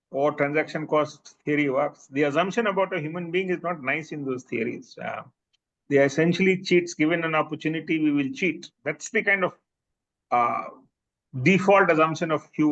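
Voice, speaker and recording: A man with an Indian English accent, a professor answering audience questions in a thoughtful way, pausing with fillers and restarting sentences. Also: on the high side, fairly bright tone. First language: Bhojpuri. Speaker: presenting professor. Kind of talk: academic Q&A answer. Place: seminar room. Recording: slightly muffled recording.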